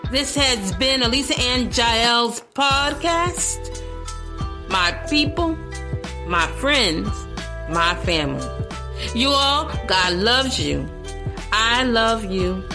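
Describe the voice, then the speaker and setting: A woman's voice: a little high, expressive, takes it slowly, over music. A woman hosting her own podcast, chatting casually and playfully, often laughing and saying "listen" and "y'all". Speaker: podcast host. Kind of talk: casual solo podcast chat.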